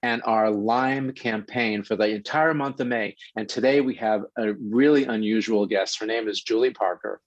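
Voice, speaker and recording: A man's voice: fairly low pitch, dry recording, dull audio. A man with a New York accent hosting a health show, introducing a guest in an upbeat, chatty way. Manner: upbeat, chatty, spells things out slowly. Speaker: host. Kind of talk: podcast host introduction.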